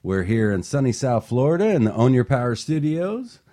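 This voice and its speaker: A man's voice: mid-range voice, big pitch swings, moderate pace, warm tone. A man co-hosting a radio show in a studio, speaking in an emphatic, motivational way with upbeat scripted lines and banter.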